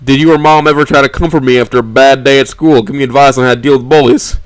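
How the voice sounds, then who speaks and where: A man's voice: medium pitch, steady tone, brisk, sharp tone, lightly acted, rough recording. Man playing a raw, resentful young son. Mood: hurt anger. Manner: pleading, raw, emotional outbursts. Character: desperate, resentful young son, just out of high school.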